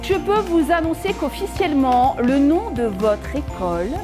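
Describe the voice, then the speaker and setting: A woman's voice: high voice, sing-song, moderate pace. A woman explaining and announcing things in an informal spoken style. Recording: loud music and crowd noise. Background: loud music, crowd.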